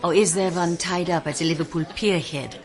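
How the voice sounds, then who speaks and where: Woman playing a low-voiced rival collector. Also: fairly even intonation, brisk, a faint crowd, close to an everyday voice. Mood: probing. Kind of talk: radio drama acting.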